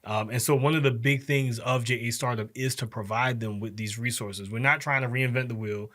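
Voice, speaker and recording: A man in his forties, a guest in a casual interview, explaining things step by step and pausing often on um and uh. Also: mid-range voice, steady tone, a bit bright. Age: 40s. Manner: explains step by step, halting with frequent um and uh. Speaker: program director, guest.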